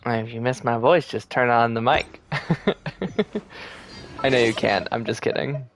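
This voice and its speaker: A man's voice: medium pitch, measured pace. Chatty male game streamer over music. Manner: relaxed, chatty, thinking aloud. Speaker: game livestreamer. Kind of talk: casual live gameplay chat with viewers. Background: video game music.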